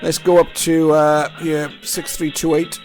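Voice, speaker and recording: A man's voice: fairly high pitch, flat delivery, measured pace. Man narrating radio tuning, music behind. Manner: unscripted, thinking aloud in short remarks. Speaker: radio hobbyist host. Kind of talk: casual screen-recorded tuning commentary. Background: radio music and signals from the receiver.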